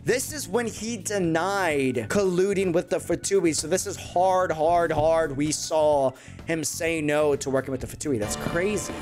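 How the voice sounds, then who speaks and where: A man's voice: a little high, a little slow. A man in his twenties giving slangy, exclamatory reaction commentary as a video host. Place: small room indoors, at a desk. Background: game trailer music.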